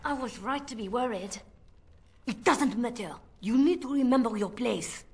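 A woman playing a sly, controlling woman spymaster in a video game, coaxing and condescending in a husky, breathy voice. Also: fairly high pitch, moderate pace. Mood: stern, commanding. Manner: coaxing, commanding, condescending endearments.

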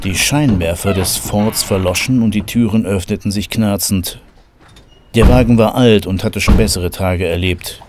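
A man's voice: fairly low pitch, normal speed, noisy background. A man narrating a scripted horror story as the narrator of an audio drama.

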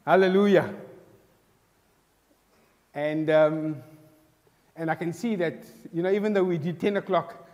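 A man in his thirties leading prayer and opening a sermon in a large church hall, loud and fervent, calling out to the congregation through a headset mic. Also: on the high side, steady tone, unhurried, pauses often. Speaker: preacher leading worship. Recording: echoey church hall with live music.

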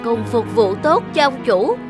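Woman voicing a high, gentle talking porcelain bowl. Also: very animated, a little slow, music in the background. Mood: earnest, gentle. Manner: soft-hearted, earnest. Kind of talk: animated fable dialogue.

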